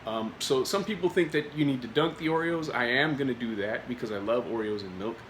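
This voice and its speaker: A man's voice: medium pitch, a little fast, fairly bright tone. A man hosting a casual food review vlog, getting over a cold, rambling with many filler words and restarts. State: recovering from a cold. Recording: echoey room with faint noise.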